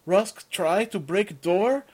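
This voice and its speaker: A man voicing a big simple-minded brute who talks slowly in broken speech. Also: high voice, darkish tone, husky, voice pushed up, exaggerated voice. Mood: worried.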